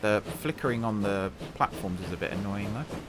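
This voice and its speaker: A man hosting a gaming channel. He chats casually over his gameplay and thinks aloud with self-deprecating humour, and his voice is croaky from a long day of talking. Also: on the low side, a little fast, noisy background. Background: background music.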